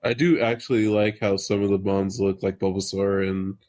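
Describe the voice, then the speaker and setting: A man's voice: sits low, flat delivery, moderate pace, a little mellow. A man co-hosting a podcast in casual chat, dropping terse, joking remarks. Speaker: podcast co-host.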